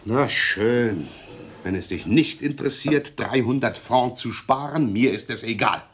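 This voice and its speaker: A man's voice: medium pitch, a little slow, slightly rough. An actor playing a pedantic, self-satisfied middle-aged policeman husband in a radio play, fussy and blustering, repeating himself. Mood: nagging, irritated. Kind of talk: 1960s German radio play acting.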